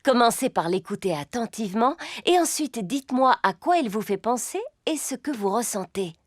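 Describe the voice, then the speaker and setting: High, lilting cartoon gazelle schoolteacher. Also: female voice, expressive, moderate pace. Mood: encouraging. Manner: instructive, encouraging, theatrical. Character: gentle, encouraging adult gazelle schoolteacher, female. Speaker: schoolteacher character. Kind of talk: children's cartoon dubbing. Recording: music behind the voice.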